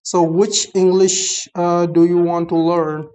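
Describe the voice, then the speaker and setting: A young man from Pakistan with a Pakistani accent and native Urdu, talking through an app setup in a halting, explanatory way. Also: high voice, level tone, darkish tone, clean tone. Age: young adult. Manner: halting, explanatory, starts many phrases with "so". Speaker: app reviewer. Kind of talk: screen-recorded app tutorial.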